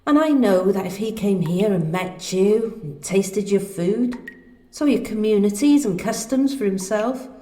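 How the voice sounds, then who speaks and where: A woman's voice: medium pitch, animated, a little slow, warm tone, close to an everyday voice. A woman playing an earnest, idealistic young princess, polite and well-spoken, in a radio play. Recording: echoey stone hall reverb.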